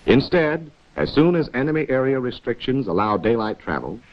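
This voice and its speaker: A man's voice: medium pitch, very animated, normal speed, slight background noise. An American man narrating a 1940s instructional film, chiding its characters directly in a wry, rhetorical way. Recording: dull 1940s film soundtrack, music.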